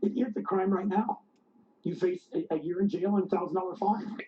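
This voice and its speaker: Brief: male activist, high, mellow voice, earnest, dull news-clip sound. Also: steady tone, a little fast. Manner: earnest, argumentative.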